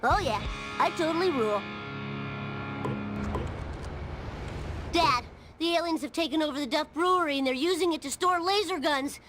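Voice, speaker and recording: Brash, high-voiced cartoon boy, played by a woman. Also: fairly quick, music in the background, close to an everyday voice. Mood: gleeful. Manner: cheeky, brash. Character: mischievous cartoon boy of about ten, brash slacker. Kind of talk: animated comedy game acting.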